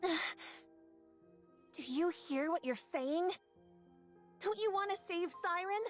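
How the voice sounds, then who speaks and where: A woman's voice: very high voice, animated, drawn-out words, soft background music, heavily acted. A woman playing a timid but stubbornly loyal young female android soldier in an anime game dub, earnest and pleading. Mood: upset, defiant.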